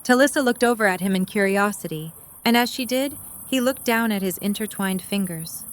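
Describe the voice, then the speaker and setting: A female narrator with a medium-pitched voice reads a story. Her delivery is scripted fiction narration, as heard between scenes of an audio drama. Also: normal speed.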